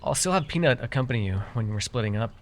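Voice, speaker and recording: A man's voice: mid-range voice, animated, fast talker, slight background noise, lightly acted. A man playing an easily flustered, teasing but caring fae forest guide in a fantasy roleplay, with exasperated banter. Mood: mildly exasperated. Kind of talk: immersive fantasy roleplay ASMR acting.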